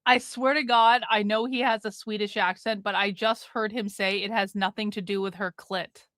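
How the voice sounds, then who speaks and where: A woman's voice: mid-range voice, steady tone, moderate pace, a bit bright, no room sound. A woman hosting a livestream, reacting to a clip with joking, incredulous banter. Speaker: livestream host. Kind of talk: casual reaction chat.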